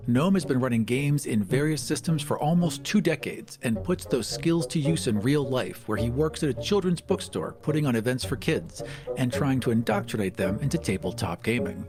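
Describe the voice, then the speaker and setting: A man co-hosting a podcast, presenting and chatting. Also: medium pitch. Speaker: podcast co-host, writer and producer.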